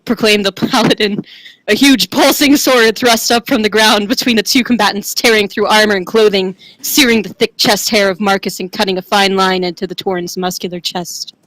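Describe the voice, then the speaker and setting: A woman's voice: mid-range voice, steady tone, moderate pace, a bit bright, no room sound, low-quality recording. A woman giving a theatrical, tongue-in-cheek dramatic reading of a fantasy romance. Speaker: reader.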